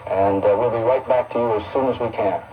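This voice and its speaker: A man's voice: on the low side, fairly even intonation, brisk, narrow, tinny audio. News anchorman on thin, distant radio. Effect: shortwave radio. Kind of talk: live ad-libbed news anchoring.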